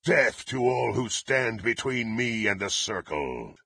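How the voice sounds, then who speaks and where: An actor playing a menacing, proud and violent blackguard warrior in a fantasy video game, with a gravelly, raspy voice and haughty, threatening, formal delivery. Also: male voice, mid-range voice, sing-song, takes it slowly, pushed and bright, no echo, heavily acted. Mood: cold menace. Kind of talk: theatrical fantasy game voice acting.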